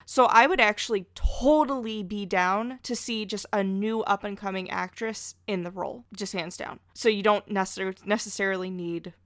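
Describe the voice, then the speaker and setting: A woman's voice: medium pitch, moderate pace, few pauses, a bit bright, dry recording. Chatty woman on a dry, close podcast. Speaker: podcast guest. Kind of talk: casual podcast discussion.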